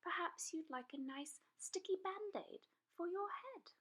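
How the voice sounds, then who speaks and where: A woman voicing a kind, helpful little princess girl, gentle and polite, in a children's storybook. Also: very high-pitched, animated, measured pace, pitched above the performer's own voice, heavily acted. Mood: soothing. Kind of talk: children's storybook read-aloud.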